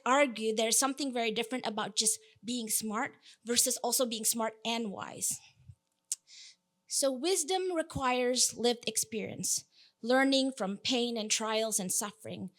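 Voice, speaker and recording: Earnest, slightly high-voiced woman speaker. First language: Indonesian. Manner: earnest, emphatic, with playful asides. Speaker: keynote speaker. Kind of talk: conference keynote talk.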